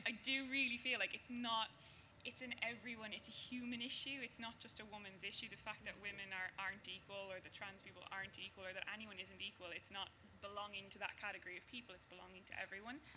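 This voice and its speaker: Fast, bright-voiced outspoken woman. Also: mid-range voice, a little hiss or hum, poor audio. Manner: outspoken, blunt, swears. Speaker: panelist. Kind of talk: panel discussion.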